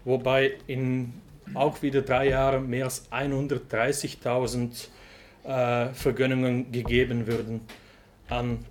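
A man from Flanders with a Flemish accent, giving a speech in a language that is not his own, often pausing to search for words. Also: mid-range voice, flat delivery, a little hiss or hum. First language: Dutch. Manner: halting, pauses to search for words. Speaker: guest speaker. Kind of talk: speech to an audience.

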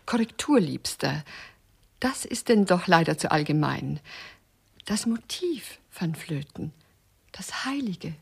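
A woman's voice: fairly low pitch, big pitch swings, slow pace, gravelly, husky, exaggerated voice. An actress in a radio play playing a sardonic, bossy female companion, dry and correcting with mocking endearments. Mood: scornful, urging. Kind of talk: German radio play acting.

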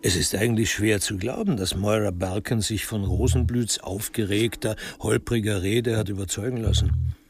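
A low, creaky narrating voice for a radio crime play. He tells the story drily and with measured literary wryness over faint music. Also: fairly even intonation. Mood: dry, measured. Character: dry, wry male narrator of a crime story. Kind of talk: scripted radio drama narration.

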